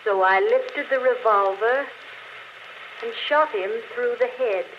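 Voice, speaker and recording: An actress playing a dead woman who confesses a murder on a phonograph record, speaking slowly in 1940s radio style. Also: a little high. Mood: coolly confessing. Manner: calm, deliberate confession, later frightened. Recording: dull old radio broadcast with faint hiss.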